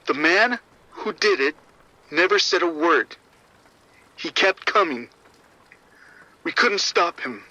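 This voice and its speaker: An actor playing a shaken security officer giving urgent, grim reports over radio in a horror audio drama. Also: male voice, a little high, lively intonation, takes it slowly, long pauses, pushed and bright, no room sound, heavily acted. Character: shaken male arena security officer reporting a violent outbreak. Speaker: security supervisor. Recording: muffled, band-limited radio transmission.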